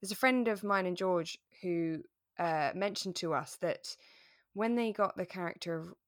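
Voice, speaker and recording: A woman chatting casually as co-host of a relaxed podcast. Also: lowish voice, normal speed, no room sound, clean studio sound. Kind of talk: relaxed casual chat about a radio drama.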